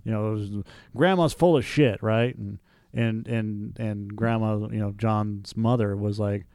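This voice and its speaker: A man's voice: lowish voice, unhurried, darkish tone, clear, resonant tone, no echo, clean studio sound. A man in his forties co-hosting a casual podcast chat, blunt and profane. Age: 40s. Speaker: movie podcast co-host.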